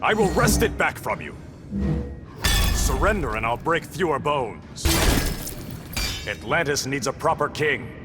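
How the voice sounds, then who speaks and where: A man's voice: mid-range voice, lively intonation, measured pace, long pauses, natural voice. A man playing a proud, imperious warrior king in a video game, speaking in a formal, commanding way. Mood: commanding, threatening. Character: proud, stern warrior king of an undersea kingdom. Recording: loud music behind.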